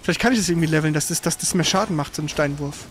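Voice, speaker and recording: A man's voice: on the high side, very fast, slight background noise. A man giving casual, colloquial gaming commentary as he plays, exclaiming at the game. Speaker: gamer, let's play host.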